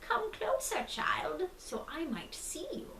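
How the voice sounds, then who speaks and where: A woman voicing a sly male storybook wolf posing as a sweet grandma, soft, breathy and coaxing. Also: extremely high pitch, very animated, slow, roomy sound, slight background noise, voice pushed up, heavily acted, low-quality recording.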